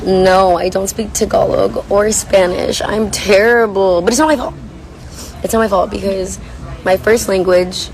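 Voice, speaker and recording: A young woman with a hoarse, worn voice chats casually while reading viewer comments on a livestream from a coffee shop. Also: medium pitch, a little mellow, rough recording. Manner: chatty, rambling, reads comments aloud. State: hoarse, lost voice. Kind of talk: casual chat.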